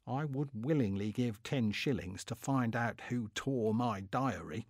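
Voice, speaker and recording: A man's voice: mid-range voice, measured pace, pitched below the performer's own voice, close to an everyday voice. A man playing a pompous, fussy, middle-aged Victorian clerk who is easily affronted, in a comic dramatic diary reading. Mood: fussy, put out. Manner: pompous, indignant, self-satisfied.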